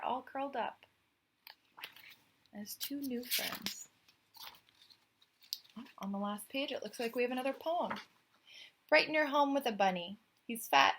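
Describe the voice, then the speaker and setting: A woman reading a children's picture book aloud as a storyteller, expressively, with chatty, questioning asides to young listeners. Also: mid-range voice.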